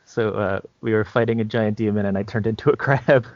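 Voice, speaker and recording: A man with a creaky, fairly low voice chats as a podcast co-host. He feels his way through his points in an easygoing, hesitant manner. The recording is close and dry. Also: fairly even intonation, a little fast, a little mellow, dry recording. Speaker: podcast co-host, game master. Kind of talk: casual chat giving gaming advice. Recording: dull, lo-fi, dry podcast audio.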